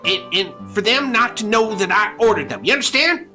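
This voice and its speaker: An excitable old rancher in a Western radio play. The voice is bright and sweeps up and down, slowly stammering and repeating words, with faint guitar music behind. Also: male voice, high voice, heavily acted. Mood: flustered, eager.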